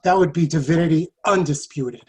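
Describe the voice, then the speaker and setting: A man playing a loyal, devout medieval French soldier in a play reading, earnest and formal with a husky voice. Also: a little high, a little fast. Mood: earnest faith. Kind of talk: stage play table read.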